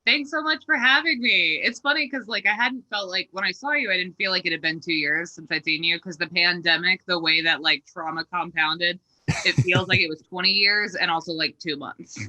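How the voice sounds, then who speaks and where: A woman's voice: on the low side, a little fast, pushed and bright, low-quality recording. A woman in her twenties from Texas, a stand-up comedian telling her story as a podcast guest in a chatty, darkly joking way. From Texas, USA. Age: 20s. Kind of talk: casual conversational storytelling.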